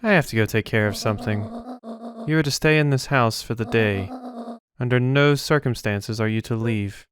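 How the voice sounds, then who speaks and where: A man voicing a stern older woman who speaks quietly and evenly. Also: mid-range voice, normal speed, lightly acted. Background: game music.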